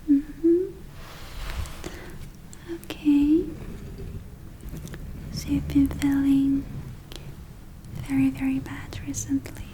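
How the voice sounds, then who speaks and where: A woman whispering in a breathy, gentle, unhurried way, performing an ASMR roleplay as a soothing witch healer. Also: high-pitched, slight background noise, poor audio. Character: soothing witch healer welcoming a spa client.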